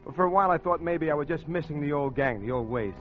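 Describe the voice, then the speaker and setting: A man's voice: on the high side, fast, close to an everyday voice. An actor playing a tough, slangy, tormented American crook who tells his own story in an old radio crime drama. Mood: brooding. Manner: slangy, hard-boiled. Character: tough male small-time crook, tormented, confessional narrator. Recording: dull old radio recording, music behind.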